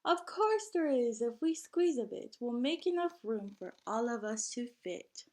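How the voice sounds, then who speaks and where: Woman voicing a slow, reassuring storybook dad. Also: a little high, big pitch swings, darkish tone. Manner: reassuring, insistent. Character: reassuring, easygoing father in a picture book.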